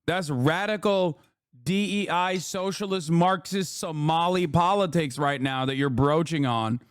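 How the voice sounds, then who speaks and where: A man streaming and reacting to a podcast clip, profane and mocking, getting heated and loud. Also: fairly high pitch, a little slow, clear, resonant tone, no room sound, studio quality. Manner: profane, mocking, shouting in outrage. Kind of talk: heated live-stream commentary.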